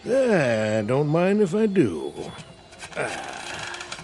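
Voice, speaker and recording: Slow, drawling country gossip. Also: male voice, on the high side, huge pitch swings, a little mellow, a little hiss or hum, exaggerated voice. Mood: wary, folksy. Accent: rural American. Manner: folksy, drawling, insinuating. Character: suspicious small-town country man trading dark gossip. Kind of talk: radio drama acting.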